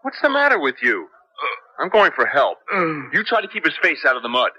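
A man's voice: a little high, wildly swooping pitch, brisk, sharp tone, exaggerated voice. An actor playing a determined, assertive young male graduate student in a 1970s radio drama. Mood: urgent. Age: young adult. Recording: dull lo-fi 1970s radio broadcast.

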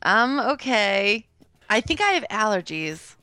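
Slightly raspy woman with a lively voice. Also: mid-range voice, slow pace, dry recording. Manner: self-deprecating, laughs easily. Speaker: guest, host's older sister. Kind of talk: casual chat.

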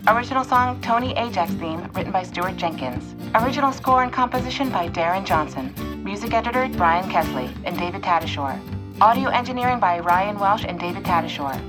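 Woman reading credits, steady and even, music loud under her. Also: medium pitch, a little fast. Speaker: credits announcer.

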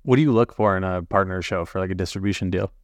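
Low-pitched male podcast host. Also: quick pace, dry recording. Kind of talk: scripted show introduction and interview questions.

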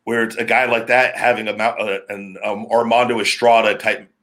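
A man with a deep, heavy, booming voice, hosting a podcast in a loose, rambling, talkative way. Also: flat delivery, moderate pace, fairly bright tone. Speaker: podcast host, former pro wrestler. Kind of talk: casual podcast chat.

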